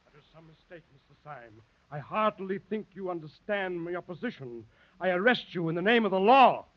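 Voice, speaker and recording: An actor playing a stiff, indignant detective with formal, emphatic speech in a 1930s radio drama. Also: male voice, sits high, lively intonation, fairly quick. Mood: stern indignation. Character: stiff, indignant male secretary revealed as detective. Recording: dull 1930s radio recording.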